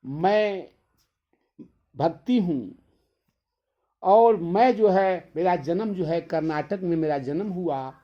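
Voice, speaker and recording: A man voicing a reverent, distressed young mother who pleads in halting phrases, in a devotional tale. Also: high-pitched, expressive. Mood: pleading, reverent. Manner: beseeching, halting. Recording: dull, lo-fi sound.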